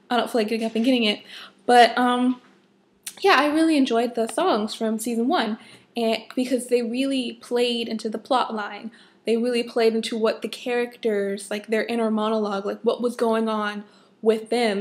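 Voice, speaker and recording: A young adult woman with a slightly hoarse, husky voice, giving a casual, emphatic rant as a vlogger. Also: fairly high pitch. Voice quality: a bit husky. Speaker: vlogger, fan of a TV show.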